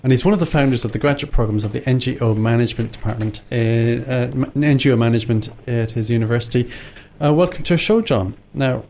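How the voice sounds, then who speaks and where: A New man you'd hear hosting a small community radio interview, ordinary mid pitch, a little ambient hiss around him. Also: fast talker, a little mellow. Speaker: radio show host. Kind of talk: informal interviewing. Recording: clear background room noise.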